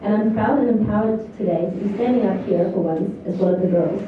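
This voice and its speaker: A woman with a New Zealand accent delivers a prepared graduation address as valedictorian. Her mid-pitched voice reaches us from well away from the microphone, thin as a phone line. The room throws back a heavy echo around her words. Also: fast. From New Zealand, born in China. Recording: echoey hall, distant, thin lo-fi, faint noise.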